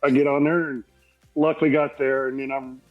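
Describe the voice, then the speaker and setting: A man's voice: mid-range voice, little pitch movement, a little fast. A dull, lo-fi recording of a man with faint music behind him. He is a podcast guest telling casual anecdotes, folksy and rambling, with a self-deprecating streak.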